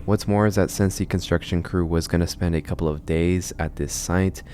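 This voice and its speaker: A man's voice: sits low, fairly even intonation, moderate pace, a little mellow, a little hiss or hum. A man hosting a video essay on a horror series, explaining it in a conversational way. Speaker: video host, narrator.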